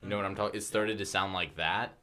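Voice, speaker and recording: A man's voice: sits low, steady tone, fast, sharp tone. A teenage boy co-hosting a casual podcast from a small studio, rambling through stories with lots of fillers. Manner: rambling storytelling with many fillers. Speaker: podcast co-host.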